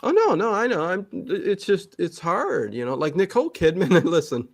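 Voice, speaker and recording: A man chatting casually as an interview guest, rambling and halting, often backtracking and repeating himself, with emphatic interjections. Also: high voice, big pitch swings, no room sound, clean studio sound. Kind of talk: casual chat.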